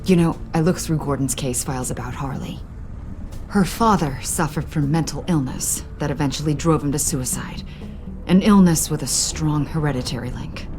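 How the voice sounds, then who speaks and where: A low-pitched woman's voice , an actress in a game role, plays an earnest government agent with inner doubts. She speaks candidly and with a slightly formal, measured delivery, over background music. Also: natural voice. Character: earnest, conflicted female government agent, adult, professional. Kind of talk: naturalistic video game dialogue.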